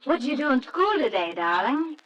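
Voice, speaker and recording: A woman's voice: sits high, sing-song, moderate pace, fairly bright tone, muffled recording, a little hiss or hum. A woman playing an affectionate, sentimental young mother in an old-time radio drama, tender and gushing. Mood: tender, happy.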